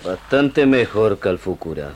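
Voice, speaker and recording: A wounded gaucho sergeant in an old radio drama. He is rustic, frank and grateful, with a rural Argentine accent and a highly animated pitch. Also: measured pace, slight background noise. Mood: weary, grateful. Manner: rustic, plain-spoken.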